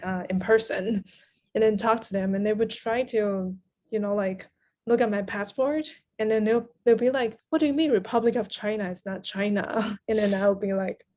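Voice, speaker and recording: Woman from taiwan, podcast guest, medium pitch, thoughtful casual talk. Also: moderate pace, warm tone. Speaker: guest translator. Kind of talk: casual conversation.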